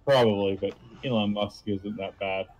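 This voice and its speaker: A man co-hosting a livestream in casual chat, agreeable and hesitant, with halting phrasing. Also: fairly low pitch, steady tone, darkish tone. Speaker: livestream co-host.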